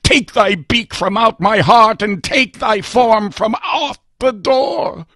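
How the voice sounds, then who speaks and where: Tormented mourner in a gothic story, acted by a male reader, theatrical, desperate, husky and gravelly. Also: high-pitched, slow pace, sharp tone, no room sound, studio quality, pitched above the performer's own voice, full character voice. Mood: furious. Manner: theatrical, impassioned, archaic declamation. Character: grieving, haunted man, tormented and desperately pleading.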